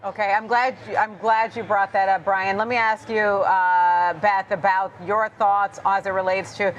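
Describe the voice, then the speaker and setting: Brisk woman news anchor. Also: medium pitch, flat delivery, muffled recording. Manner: brisk, guiding questions. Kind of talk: financial news interview.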